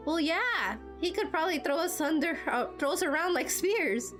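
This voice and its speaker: A woman plays a girlish young fighter from a fantasy game, perky and excitable. Her voice is high and expressive, with music clearly behind her informal, friendly delivery. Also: moderate pace. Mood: chatty. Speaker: amateur voice actor playing through a game. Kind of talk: amateur game dialogue voice acting.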